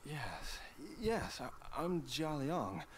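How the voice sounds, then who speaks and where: An actor playing a dazed, confused, sickly father in a video game, halting and hesitant, with noise behind him. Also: on the high side, very animated, crowd noise, full character voice. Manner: halting, full of groans and hesitations. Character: dazed, confused sick father with failing memory.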